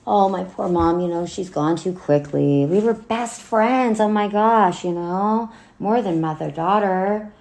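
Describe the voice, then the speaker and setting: Gravelly, low-voiced bitter grieving daughter, acted by a woman. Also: darkish tone. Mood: wry, grieving. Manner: halting, emotional, with wry laughs and swearing. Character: bitter, wounded grown daughter addressing her dead mother. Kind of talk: dramatic monologue cold read.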